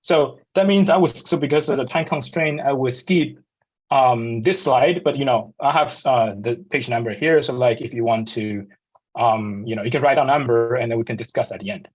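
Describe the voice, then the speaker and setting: A man in his thirties from Taiwan with a Taiwanese accent, giving a slide talk to students over a video call, halting with many fillers and restarts. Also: medium pitch, slightly rough, highs cut off. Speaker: guest speaker.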